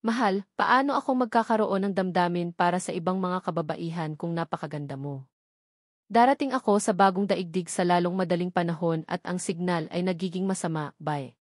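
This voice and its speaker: Woman voicing smooth-talking husband in an audiobook, boastful and flattering, dry sound. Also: fairly low pitch, fairly quick, no room sound, close to an everyday voice. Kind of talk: audiobook dialogue.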